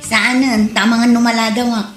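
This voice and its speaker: Woman playing an evasive, higher-voiced young wife. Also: flat delivery, normal speed, fairly bright tone, soft background music, close to an everyday voice. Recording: roomy, clearly noisy recording.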